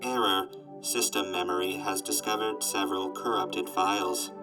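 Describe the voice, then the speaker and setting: A man voicing a glitchy robot assistant that makes flat, formal machine announcements. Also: deep voice, moderate pace, music in the background, lightly acted, rough recording. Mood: flat, procedural. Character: glitchy deadpan robot assistant, helpful but unsettling.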